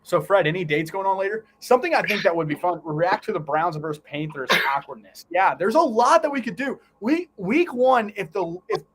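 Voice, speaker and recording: A man's voice: sits high, expressive, brisk, hardly any pauses, a bit bright. A man hosting a sports livestream, mixing live play-by-play with casual, teasing banter. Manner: banters and teases his co-host.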